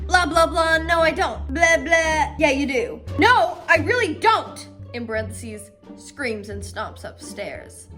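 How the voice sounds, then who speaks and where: Theatrical young woman with a higher-pitched voice. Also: fairly bright tone. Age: young adult. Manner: theatrical, self-mocking, drawn-out emphasis on words. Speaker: vlogger reading own childhood diary. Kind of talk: melodramatic diary reading with casual asides.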